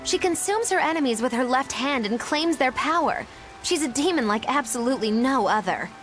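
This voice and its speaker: A woman's voice: sits high, animated, brisk, a bit bright, faint music behind. A woman voicing a mischievous, smug young witch in a video game, teasing and theatrical. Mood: knowing. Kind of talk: English video game voice acting.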